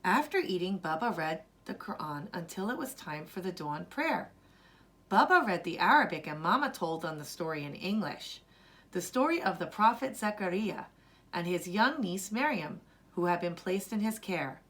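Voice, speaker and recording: A woman narrating a children's story as a storyteller, with deliberate, expressive delivery. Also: lowish voice.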